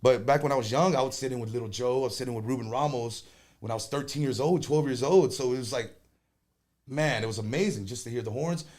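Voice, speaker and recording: A man talking shop as a guest, loose and animated, with quick bursts and joking asides. His voice sits at an ordinary middle pitch for a man. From Texas. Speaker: conjunto band leader and singer, guest. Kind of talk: casual interview chat.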